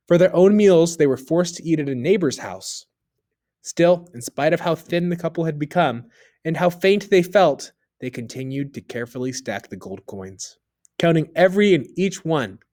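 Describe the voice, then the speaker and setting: Animated male narrator for a read-aloud fable, bright and lively melody. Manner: expressive, dropping low for narration tags. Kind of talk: children's story read-aloud.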